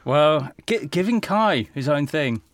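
Slightly high-voiced man chatting casually. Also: animated, a little slow, no echo. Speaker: interview guest. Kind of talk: casual chat.

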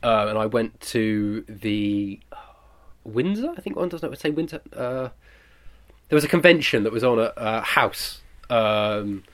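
A man's voice: lowish voice, expressive, measured pace, fairly bright tone. A British man in his twenties being interviewed as a producer, chatting casually in a modest, rambling way and correcting himself. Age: 20s.

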